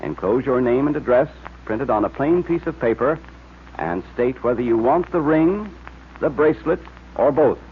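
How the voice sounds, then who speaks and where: A male American announcer from old-time radio, emphatic and polished, with a very mobile middle-pitched voice. The recording is narrow and phone-like, with clear hiss. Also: lively intonation, moderate pace. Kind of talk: 1940s radio advertising and serial narration.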